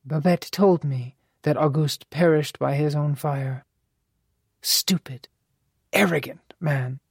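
A woman's voice: low voice, takes it slowly, a little mellow, no echo, studio quality, exaggerated voice. An audiobook narrator voicing a fond, wry older mother, a formal and imperious woman who teases as she talks. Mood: regretful. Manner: formal, crisp, teasing phrasing. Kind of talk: audiobook character dialogue.

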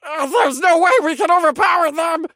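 A man's voice: steady tone, moderate pace, pushed and bright, dry recording, studio quality, exaggerated voice. A man voicing a small, cowardly elf soldier in a fantasy audiobook, crying out in a strained, quivering, frantic voice. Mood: panicked. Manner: frantic high-pitched crying out. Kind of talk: acted audiobook character dialogue.

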